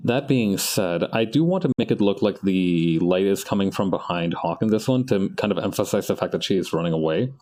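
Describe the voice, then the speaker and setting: Guy on an art stream, lowish voice, rambly, keeps correcting himself mid-sentence. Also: animated, a little fast, hardly any pauses, darkish tone. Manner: rambling, self-correcting, talks to himself as he works. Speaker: artist streaming his work. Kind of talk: casual live-stream chat while drawing.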